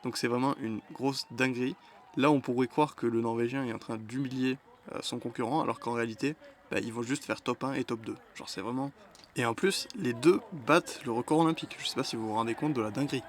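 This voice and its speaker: A man's voice: mid-range voice, brisk. A young man narrating a voice-over in a casual, explanatory way with chatty asides. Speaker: vlogger narrating. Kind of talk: voice-over commentary.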